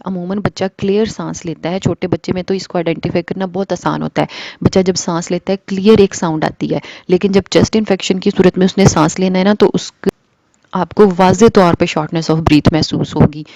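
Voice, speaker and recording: Woman presenter, baby-care explainer, mellow low voice, dry close sound. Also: steady tone, brisk, creaky, no room sound, highs cut off. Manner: patient step-by-step explaining, mixing in English phrases. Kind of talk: explanatory talk to parents.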